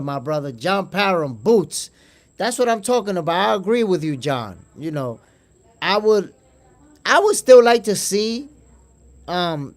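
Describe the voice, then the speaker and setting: Boxing stream host: Dominican New Yorker, friendly and chatty, repeats himself, slightly high voice. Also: male voice. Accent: New York. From Dominican Republic. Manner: chatty, calls viewers "my brother", repeats words. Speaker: boxing podcast host reading live chat. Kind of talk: casual livestream commentary.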